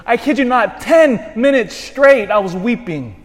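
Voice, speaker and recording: Animated priest preaching in a reverberant church. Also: male voice, extremely high pitch, measured pace. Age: 40s. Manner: animated, swings from shouted emphasis to quiet asides. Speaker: parish priest. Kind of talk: sermon.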